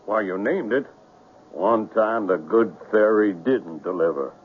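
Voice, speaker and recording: An actor playing a matter-of-fact small-town police officer in a 1970s radio drama, talking in blunt, clipped phrases. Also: male voice, on the low side, animated, a little slow. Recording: faintly noisy, phone-like old radio recording.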